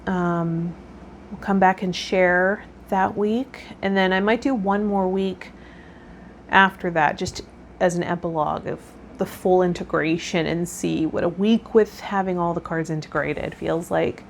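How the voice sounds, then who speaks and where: A woman's voice: on the low side, fairly even intonation, a little slow. A woman hosting a personal vlog, talking casually in a rambling, self-effacing way. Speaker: host of a tarot vlog. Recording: clear background noise.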